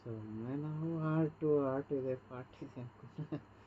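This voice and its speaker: A man's voice: medium pitch, measured pace. A man on a phone call, resigned and sarcastic in an argument. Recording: dull phone call with faint hiss.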